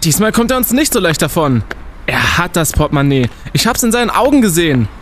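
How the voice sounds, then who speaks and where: Man acting a pompous young student sleuth, lively high voice. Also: fairly quick, a little hiss or hum. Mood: indignant, determined. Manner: pompous, declamatory. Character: brash young male student amateur detective, pompous and indignant. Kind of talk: audio drama acting.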